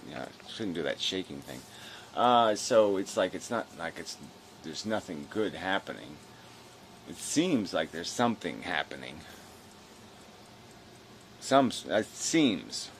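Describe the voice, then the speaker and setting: A man rambling casually in a weary, low-energy, self-deprecating way, halting and swearing as he talks to camera. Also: sing-song, slight background noise. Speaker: video blogger.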